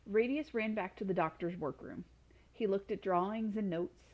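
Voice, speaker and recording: A woman's voice: medium pitch, moderate pace, dull audio. A woman reading a children's storybook aloud as a storytime reader.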